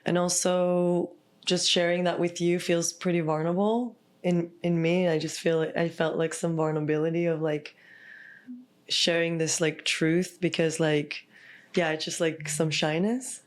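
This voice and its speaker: A woman from Israel, a podcast guest and healer, talking in a soft, reflective way and often pausing on "like.". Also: on the low side, level tone. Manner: soft, reflective, full of "like" fillers. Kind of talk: casual heartfelt conversation.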